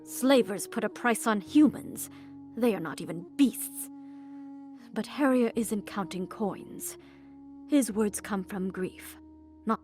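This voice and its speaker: A woman's voice: mid-range voice, music in the background, close to an everyday voice. Coolly rational strategist played by a woman. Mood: measured, sympathetic. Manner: measured, formal, blunt. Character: coolly rational strategist who weighs lives by odds. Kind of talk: dramatic video game acting.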